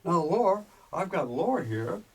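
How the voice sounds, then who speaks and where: A man hosting a radio interview, asking halting questions and giving frequent backchannel replies. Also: sits high, very animated, a little mellow, distant mic. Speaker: radio host.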